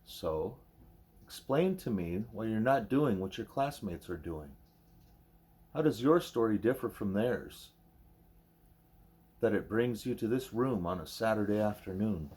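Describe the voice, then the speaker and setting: A man reading the part of a wise, patient gorilla teacher in an audiobook, speaking in formal, measured, probing sentences. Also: on the low side, expressive, darkish tone, slightly rough.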